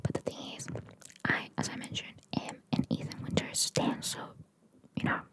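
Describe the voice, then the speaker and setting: A woman whispering in a breathy voice, reading trivia for ASMR and adding chatty, informal asides. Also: normal speed. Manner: informal, chatty, reacting to what is read. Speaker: ASMR host reading video game trivia.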